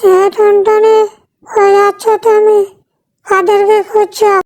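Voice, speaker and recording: A woman voicing small chatty cartoon animals in a squeaky, childlike voice with simple chatter. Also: monotone, unhurried, darkish tone. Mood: curious, helpful.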